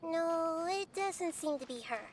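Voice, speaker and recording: A woman voicing a gentle little girl deity in a video game, light and childlike, composed as she explains. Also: extremely high pitch, animated, measured pace, slight background noise. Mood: thoughtful. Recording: loud game music behind voice.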